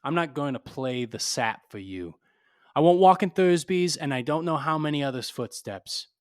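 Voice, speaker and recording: An actor playing a tough, cynical private detective in 1940s radio drama style, blunt and clipped. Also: male voice, a little high, animated, moderate pace, smooth, close to an everyday voice. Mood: hard, angry. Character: hard-boiled cynical male private detective, tough and unsentimental.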